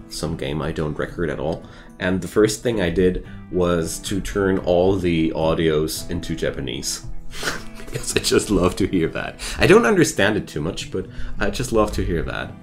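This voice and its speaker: A man's voice: deep voice, sing-song, moderate pace, faint music behind. A man who is not a native English speaker, chatting casually and rambling with viewers while streaming a game. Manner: rambling and chatty, restarts thoughts.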